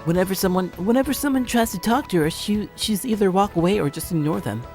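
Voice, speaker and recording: A man's voice: high-pitched, quick pace, darkish tone, soft background music, pitched above the performer's own voice. A man voicing a teasing, gossipy teenage classmate in a visual novel, talking informally.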